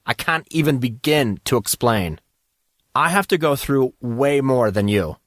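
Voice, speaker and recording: Audio drama casting: man to play excitable teen schoolboy, teasing best friend, slangy, animated intonation. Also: mid-range voice, measured pace, no echo, studio quality, lightly acted. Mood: insistent. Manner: casual slangy banter.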